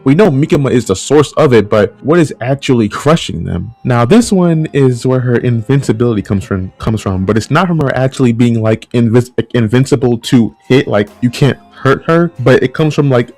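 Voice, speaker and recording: A man's voice: medium pitch, lively intonation, moderate pace, few pauses, darkish tone. A man giving casual, loosely scripted fan commentary in a conversational, rambling way, repeating phrases for emphasis. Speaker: host of a video commentary channel. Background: background music.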